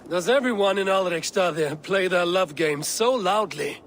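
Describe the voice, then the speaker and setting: An actor playing a proud, wry, battle-hardened Viking raider in a video game cutscene. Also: male voice, high voice, normal speed, a bit bright, a little hiss or hum. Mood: amused, curious.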